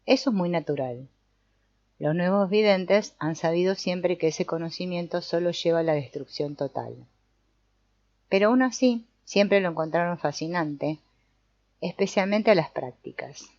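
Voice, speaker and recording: A woman voicing an old male sorcerer teacher, calm and didactic, speaking in a measured, explanatory way with the odd small restart. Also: on the low side, no room sound. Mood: explaining. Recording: dull, muffled audio.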